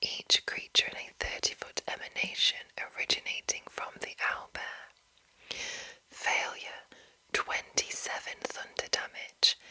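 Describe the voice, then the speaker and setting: Asmr lady whispering, really breathy, crisp bright sibilant edge, takes her time. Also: rough recording. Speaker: ASMR host reading a monster manual.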